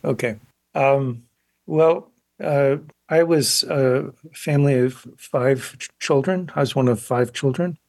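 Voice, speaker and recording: A man's voice: mid-range voice, slow, darkish tone, no room sound. A male artist who grew up in South Korea, speaking thoughtfully in a radio interview and sometimes restarting sentences. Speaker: guest artist.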